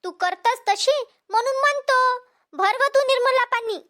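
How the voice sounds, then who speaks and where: Lady doing a nosy village gossip woman for a cartoon, super high pitched-up voice, vidarbha marathi accent, egging people on. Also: a little slow, fairly bright tone. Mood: complaining. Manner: tattling, taking sides. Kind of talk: cartoon comedy dialogue.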